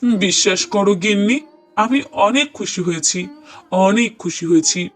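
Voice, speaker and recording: A man plays a gentle, devoted young husband in a cartoon drama. His voice is high for a man, earnest and tender, and he leans on repeated words. Music plays behind him. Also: unhurried. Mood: overjoyed. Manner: earnest, tender, emphatic repetition. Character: affectionate young husband, delighted father-to-be, gentle and doting. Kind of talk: cartoon dialogue acting. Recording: voice over prominent music bed.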